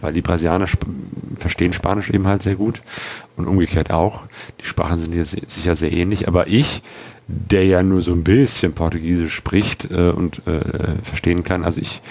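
A man with a low, deep voice tells about his motorcycle journey in a loose, rambling way. He fills his sentences with ums and ahs and often starts over, like someone just talking to the camera. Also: a little mellow. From Germany. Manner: rambling, many fillers and restarts. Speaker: motorcycle travel vlogger. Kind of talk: casual travel vlog talk.

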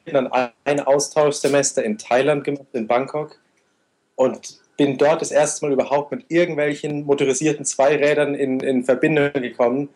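A man's voice: mid-range voice, darkish tone. A young man in his twenties answers interview questions at length. He talks steadily and goes into a lot of detail, with a mid-range voice. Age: 20s. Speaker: interview guest, motorcycle traveler.

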